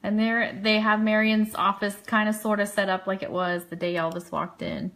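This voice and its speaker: A woman's voice: medium pitch, level tone, normal speed, a bit bright. A woman giving casual live commentary on trip photos as host of an online fan show, chatty and enthusiastic.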